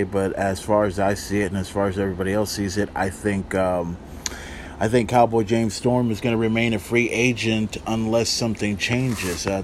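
A man's voice: lowish voice, a little hiss or hum. A man in his forties hosting a podcast, talking in a casual, rambling, emphatic way. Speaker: wrestling podcast host.

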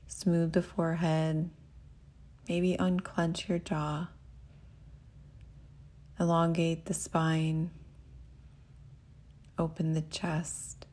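A woman with a low voice leads a guided meditation as the teacher. She speaks gently and without hurry, giving soothing instructions.

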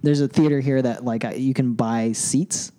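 A man's voice: medium pitch, steady tone, moderate pace, warm tone. A man co-hosting a podcast, asking blunt questions and reacting in short, casual remarks. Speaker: podcast co-host.

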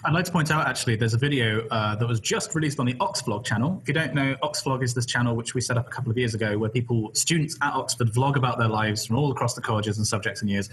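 A young man with a West Country English accent talks fast and easily, reading out viewer questions in a casual live stream. His delivery is chatty and upbeat, with a faint hum of background noise on his line. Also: medium pitch, a bit bright. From West Country, England. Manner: quick, chatty, laughs often. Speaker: co-host of live Q&A.

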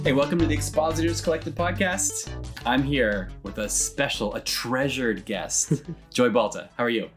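A man's voice: mid-range voice, moderate pace, over loud music. Easygoing man hosting a podcast. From California. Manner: easygoing, chuckles often, hesitant fillers. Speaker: podcast host. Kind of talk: casual interview chat. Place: lives in Ireland.

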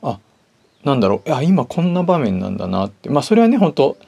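A man speaks as a podcast host in a polite, conversational manner. His voice has noticeable creak at an ordinary male pitch, with music playing behind him. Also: sing-song, quick pace, dark tone, muffled recording. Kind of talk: casual solo talk.

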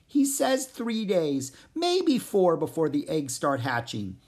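A small, kind male forest frog speaks in a gentle, earnest way, his voice lifted higher and swinging up and down as he pleads softly through a woman reading the story. There is a husky, breathy edge to the sound. He sounds worried but eager to help. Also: huge pitch swings, slow, full character voice. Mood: gentle, nervous. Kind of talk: storybook character voice.

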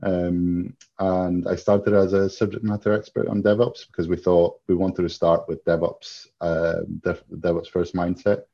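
A man from Greece answering as an interview guest, speaking in a halting, thoughtful, reflective way. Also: sits low, little pitch movement. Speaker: interview guest, software engineer. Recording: dull, muffled lo-fi audio.

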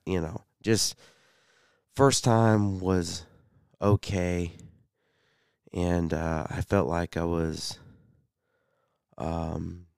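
A man hosting a solo sports podcast, a bit congested, talking haltingly with many fillers. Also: sits low, darkish tone, smooth, no echo, studio quality. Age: 30s. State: congested, slight cough. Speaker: podcast host.

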